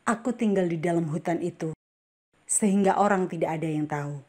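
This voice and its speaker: An actress playing a mysterious forest spirit woman who speaks calmly and politely. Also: lowish voice, brisk, close to an everyday voice. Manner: calm, polite, quietly persuasive. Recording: background noise and ambience.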